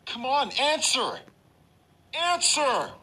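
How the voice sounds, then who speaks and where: Intense, sharp-voiced action-game hero. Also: male voice, extremely high pitch, wildly swooping pitch, slow pace, exaggerated voice. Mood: urgent, shouting. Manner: terse, intense, commanding. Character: tough, determined male action-hero special operative. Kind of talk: video game voice acting.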